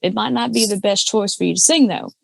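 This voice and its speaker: Low-voiced woman with a dry close sound. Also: fast, creaky, no room sound. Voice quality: low alto voice. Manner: many fillers and restarts, explains with examples. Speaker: podcast guest, karaoke singer and judge. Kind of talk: casual chat.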